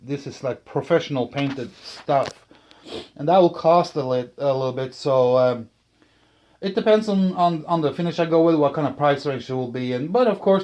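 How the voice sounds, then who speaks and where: A man talking casually and unscripted about a hobby build, thinking aloud in a hesitant, halting way as he presents. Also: mid-range voice. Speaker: channel host, speaker builder.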